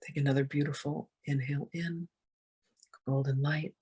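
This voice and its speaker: A meditation guide with a soft, breathy voice, speaking gently and soothingly with long, unhurried pauses. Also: mid-range voice, steady tone.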